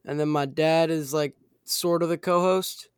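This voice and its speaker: A young male podcast host interviewing a guest, hesitant and filling pauses as he thinks. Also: on the high side, steady tone, smooth, no echo, studio quality. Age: young, teen or younger. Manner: hesitant, many fillers and restarts.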